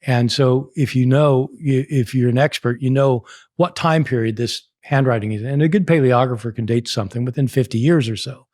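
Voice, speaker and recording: A man in his sixties from the United States, a scholar telling a lively anecdote in an interview and laughing often. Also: medium pitch, moderate pace, no room sound, clean studio sound. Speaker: guest scholar. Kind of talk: storytelling in a podcast interview.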